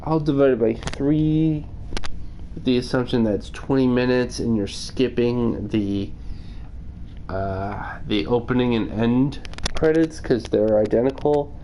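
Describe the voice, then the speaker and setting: A man's voice: mid-range voice, measured pace, dark tone, low-quality recording. A man streaming casually, answering viewers with wordy, formal phrasing. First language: English. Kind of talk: casual livestream chat answering viewer questions. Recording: noticeable background noise.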